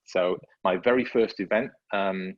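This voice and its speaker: A man's voice: low voice, steady tone, unhurried, no room sound, lo-fi sound. A man in his fifties from North Wales, talking casually as a podcast guest, rambling with lots of fillers and restarts. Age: 50s. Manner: rambling, self-deprecating, many fillers and restarts. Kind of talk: casual conversational interview.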